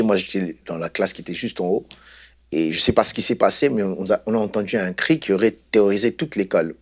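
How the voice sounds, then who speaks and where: A man from Congo, a guest pastor telling his life story in an unhurried, hesitant way. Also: low-pitched, fairly bright tone, husky. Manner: unhurried, hesitant, many fillers.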